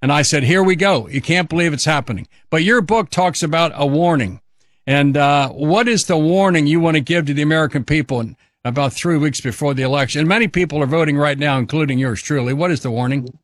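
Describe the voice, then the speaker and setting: A man's voice: on the high side, a little fast, no echo. An older man hosting a political talk radio show, speaking emphatically and repeating phrases to drive points home.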